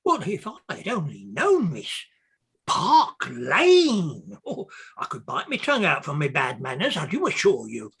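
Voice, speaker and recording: An excitable, husky clerk. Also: male voice, fairly high pitch, moderate pace, few pauses, full character voice. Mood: fawning, apologetic. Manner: melodramatic, exclamatory, obsequious toward betters. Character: indignant, fawning male clerk, servile and excitable. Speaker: actor in a comic play. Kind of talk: theatrical radio comedy acting.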